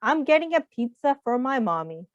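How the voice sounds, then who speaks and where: Lady doing eager little animal boy from a kids book, high n sing-song, always in a rush, echoey muffled sound. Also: very animated, moderate pace. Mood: insistent. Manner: exclaiming, sing-song recital. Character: eager, hurried young animal boy on an errand. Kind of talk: children's storybook read-aloud. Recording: muffled, roomy echo.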